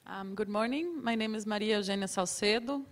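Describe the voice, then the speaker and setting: A woman from Ecuador gives a quick, lightly amused introduction at a panel. Her voice sits at a middle pitch. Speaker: head of education.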